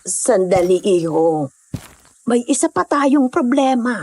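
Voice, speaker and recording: A woman's voice: fairly high pitch, expressive, slow, a little mellow, full character voice. A woman playing a hospitable older mountain dweller in an audio drama, motherly and reassuring. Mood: cautioning.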